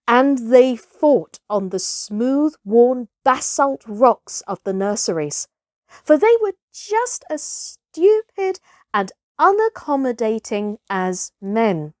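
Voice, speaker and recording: A woman reading a children's story aloud in an expressive, theatrical way, with deliberate phrasing. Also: on the high side, no room sound, studio quality. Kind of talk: expressive scripted children's audiobook narration.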